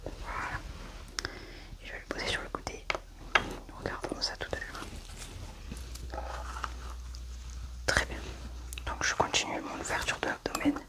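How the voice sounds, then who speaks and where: A woman speaks in a breathy whisper with no voicing. She plays a medical examiner in an ASMR roleplay, methodically talking herself through each step. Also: a little slow, long pauses.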